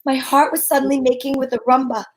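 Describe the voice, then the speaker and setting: Brisk woman narrating an old-time radio mystery. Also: sits high, little pitch movement, slight background noise. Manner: brisk, wisecracking first-person narration. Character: wisecracking female amateur sleuth. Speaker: narrator and lead in a radio play.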